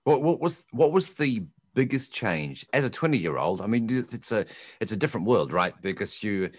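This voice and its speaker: A man's voice: fairly low pitch, very animated, moderate pace, breathy edge, no echo, studio quality. A man raised in New Zealand interviewing a guest, conversational and probing, adding his own asides.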